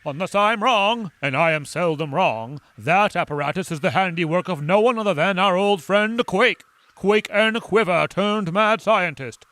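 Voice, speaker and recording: A man's voice: high voice, normal speed, a bit bright, dry recording. A man playing a pompous, confident adventure scientist hero in a radio play, with old-fashioned adventurer talk. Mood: self-assured.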